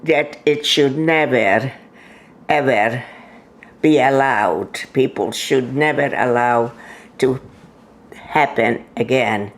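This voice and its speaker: Slow, gravelly woman in her nineties. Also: a bass voice. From Hungary. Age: 90s. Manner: slow, halting, with many pauses and fillers. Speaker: interview guest. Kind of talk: oral history interview.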